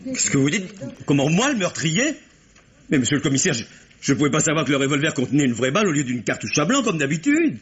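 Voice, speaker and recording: A man's voice: on the high side, sing-song, fast talker, slightly rough. An actor playing a flustered stage actor under suspicion, pleading his innocence with nervous laughs in an old radio play. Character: frantic actor suspected of murder, pleading. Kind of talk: 1950s radio drama acting. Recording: dull old radio broadcast.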